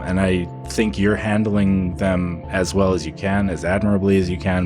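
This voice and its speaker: A man playing an earnest, gentle therapist in a comedy sketch, speaking in a measured, careful counselling manner. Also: sits low. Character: earnest, gentle male therapist advising a client.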